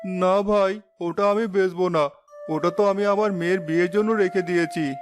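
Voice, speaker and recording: A man's voice: sits high, fairly even intonation, moderate pace, close to an everyday voice. A man voicing a worried, despairing middle-aged village father in a cartoon, plaintive and lamenting. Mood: resigned. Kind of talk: Bangla cartoon voice acting. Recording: music behind the voice.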